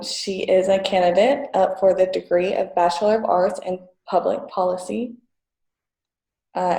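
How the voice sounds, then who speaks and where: A woman's voice: medium pitch, gravelly, dark tone, roomy sound, poor audio. A woman moderating a student panel on a video call, friendly and hesitant with fillers.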